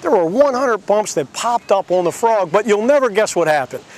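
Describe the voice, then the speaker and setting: A man's voice: high voice, expressive, normal speed, a little hiss or hum. A man narrating a satirical story in a mock-naive, sarcastic explaining manner.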